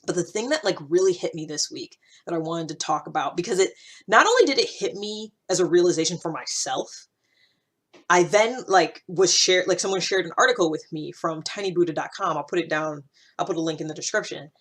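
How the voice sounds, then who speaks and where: A woman's voice: on the low side, expressive, brisk, breathy edge. A woman talking casually and reflectively to her listeners, thinking aloud in an informal, searching way with emphatic points. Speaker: host of a personal-growth series.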